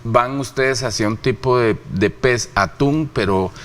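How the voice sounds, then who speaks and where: A man's voice: medium pitch, a little slow, fairly bright tone. A man from Rica, giving emphatic interview answers full of rhetorical questions. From Costa Rica. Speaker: interview guest, human rights official.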